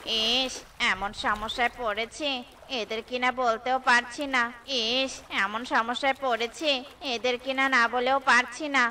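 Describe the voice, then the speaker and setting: Woman voicing a high-voiced grumpy cartoon crocodile. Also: steady tone, a little slow, pushed and bright, a little hiss or hum. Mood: pained, grumpy. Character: grumpy crocodile suffering from toothache. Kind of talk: children's cartoon dialogue.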